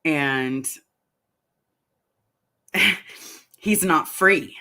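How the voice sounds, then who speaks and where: A woman's voice: deep voice, extremely slow, pushed and bright. A middle-aged woman chatting casually on a live stream, reading viewer comments aloud and rambling through her answers. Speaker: vlogger answering live chat.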